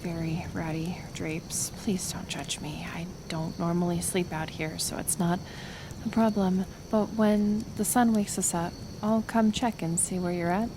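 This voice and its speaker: Casting: woman as kind, lonely half-elf healer for ASMR roleplay; unhurried, hushed, tentative delivery with some vocal fry. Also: fairly low pitch, noisy background. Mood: shy, teasing. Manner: soft, hesitant, soothing, with pauses. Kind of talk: intimate ASMR roleplay acting.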